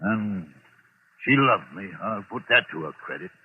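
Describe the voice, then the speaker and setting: A man's voice: fairly low pitch, animated, a little slow, husky. An actor playing a pompous, snobbish middle-aged businessman with a haunted streak, clipped and imperious, in old-time radio drama style. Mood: defensive, wistful. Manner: imperious, clipped, self-important. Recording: noisy, tinny vintage radio recording.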